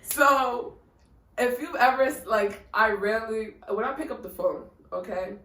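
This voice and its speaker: A woman's voice: fairly high pitch, unhurried, bright, forceful tone. Playful woman laughing a lot as she chats, echoey, mic far off. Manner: playful, laughs often while talking. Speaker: guest. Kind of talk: casual chat. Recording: echoey room, mic far off, faint ambience.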